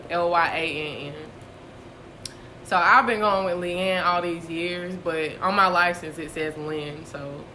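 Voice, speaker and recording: A woman's voice: fairly low pitch, fairly even intonation, measured pace, bright, forceful tone, a little hiss or hum, low-quality recording. A woman in her twenties chatting casually, upbeat and laughing often as she leads the questions. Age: 20s. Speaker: co-host asking questions. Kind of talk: casual chat.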